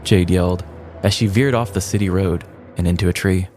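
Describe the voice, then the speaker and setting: A man's voice: deep voice, fairly even intonation, fairly quick, a little mellow, a little hiss or hum. A man co-hosting a podcast, narrating a scripted comedic true-crime story. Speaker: podcast co-host.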